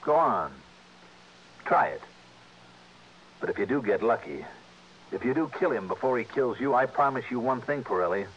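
An actor playing a tough, blunt, world-weary insurance investigator in a 1960s radio drama, clipped and commanding. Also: male voice, medium pitch, animated, moderate pace, long pauses, fairly bright tone, close to an everyday voice. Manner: hard-boiled, commanding, clipped. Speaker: lead radio detective. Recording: dull, noisy vintage radio recording.

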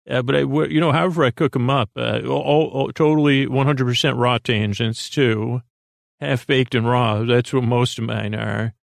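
A man with a creaky voice hosting a bedtime podcast in a soothing, halting monologue that meanders off into whimsical tangents. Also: medium pitch, dry recording, clean studio sound. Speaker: podcast host.

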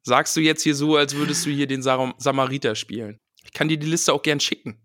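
A man's voice: medium pitch, steady tone, fast, a bit bright, no echo, clean studio sound. A man co-hosting a casual podcast, slightly nasal and stuffed up from a cold, who laughs a lot and reads out listener messages. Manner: laughs often, playful banter. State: has a cold, stuffy nose, sore throat. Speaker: podcast co-host.

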